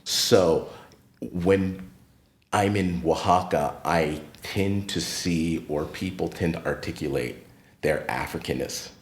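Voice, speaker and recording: A middle-aged American man, a podcast guest and retired teacher, telling stories of his life in an unhurried, reflective way that turns lively when he recounts encounters. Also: sits low. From United States. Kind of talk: casual interview storytelling. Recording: roomy echoey room.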